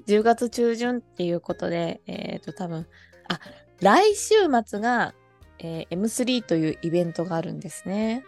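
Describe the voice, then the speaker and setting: A woman's voice: on the low side, animated, moderate pace, gravelly, faint music behind. A woman co-hosting a podcast, talking in a casual, chatty style with friends. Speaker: podcast co-host and amateur voice drama writer. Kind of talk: casual chat among friends.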